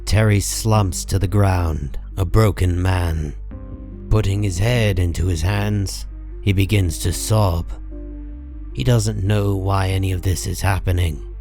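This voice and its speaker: Deep guy narrating a comic book story, takes it slow, dramatic, bit of music behind. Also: fairly even intonation, a little mellow.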